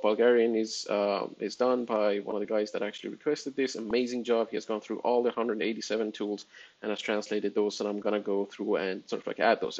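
A man with a low, gravelly voice whose first language is Farsi, talking through a screen-share in a loose, rambling way. He leans on filler phrases as he goes. The audio is a little muffled and lo-fi. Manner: relaxed, rambling, many fillers and "sort of like". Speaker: software developer presenting a release. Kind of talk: casual screen-share walkthrough.

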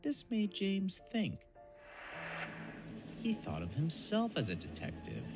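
A man's voice: high voice, wildly swooping pitch, slow, over loud music. A man reading scripted storybook narration for a cartoon dub.

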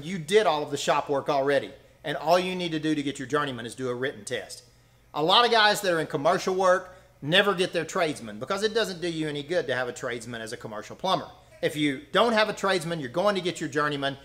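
A man explains things to camera in a plain-spoken, folksy way. His voice sits a bit higher than most men's, and he hammers home short instructions one after another. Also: a bit bright. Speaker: master plumber teaching exam prep. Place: indoor training room in front of a whiteboard.

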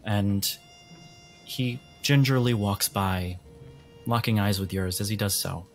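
A man with a low voice runs a tabletop game. He narrates scenes deliberately, phrase by phrase, in an atmospheric style over faint background music. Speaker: game master.

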